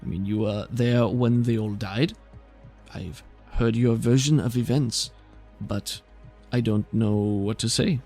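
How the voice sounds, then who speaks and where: A measured, puzzled woman examiner from a tabletop game, acted out by a man. The delivery is halting and formal, with some vocal fry. Music sits softly behind. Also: fairly low pitch, steady tone. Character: measured, puzzled female medical examiner reporting autopsy findings.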